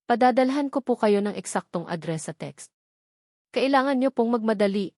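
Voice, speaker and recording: A woman's voice: on the high side, lively intonation, moderate pace, darkish tone, no room sound, clean studio sound. A woman voicing a mysterious informant in a story, giving polite, grave and urgent warnings. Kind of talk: dramatic storybook dialogue.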